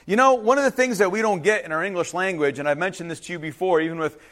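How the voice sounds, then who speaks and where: A man's voice: sits high, quick pace, a bit bright. A man who grew up speaking Ukrainian preaches a sermon, reading and explaining scripture in an informal, conversational way with asides to his listeners.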